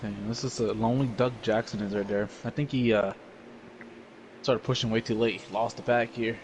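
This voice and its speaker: A man's voice: on the low side, steady tone, moderate pace, darkish tone, slight background noise. A man co-commentating on a sim race in a casual, tentative way, thinking aloud about strategy.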